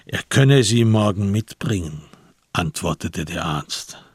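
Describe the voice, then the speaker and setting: A man narrating a radio crime drama in a measured, suspenseful literary style. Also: low-pitched, expressive, breathy edge, no echo, studio quality.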